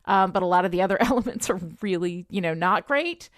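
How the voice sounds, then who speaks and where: A woman's voice: lowish voice, expressive, quick pace, no room sound, clean studio sound. A woman podcast host with a wry manner, moving between scripted recap and casual chat.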